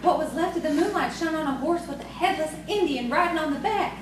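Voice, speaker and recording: A woman's voice: sits high, lively intonation, a little fast. A woman playing a coy, proud young farmer's daughter in audio theatre, with an earnest storytelling manner. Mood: earnest, suspenseful. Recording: distant, very echoey, noisy stage recording.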